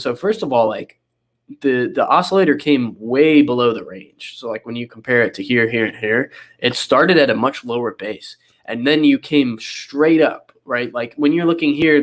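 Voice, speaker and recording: A man's voice: medium pitch, a bit bright. Nasal young man with a cold. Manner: rambling with many fillers and restarts. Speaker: guest analyst. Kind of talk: casual explanatory interview.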